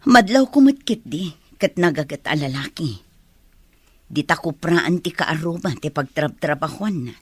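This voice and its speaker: Woman acting a husky, tender mother. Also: low-pitched, expressive, measured pace, no echo, heavily acted. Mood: probing. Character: tender, doubting mother of a student daughter. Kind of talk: audio drama acting.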